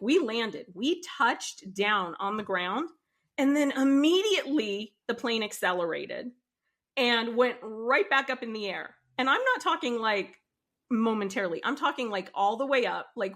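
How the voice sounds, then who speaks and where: A woman hosting a nursing podcast, chatting casually with a guest while congested from a cold. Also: medium pitch. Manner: animated storytelling. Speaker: podcast host.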